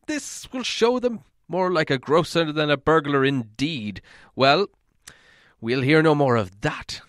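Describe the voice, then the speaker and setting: A man's voice: on the high side, lively intonation, unhurried, no room sound, clean studio sound, pitched above the performer's own voice. A man voicing a small, plucky, indignant male hobbit in a storybook reading, exclaiming to himself. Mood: excited, defiant.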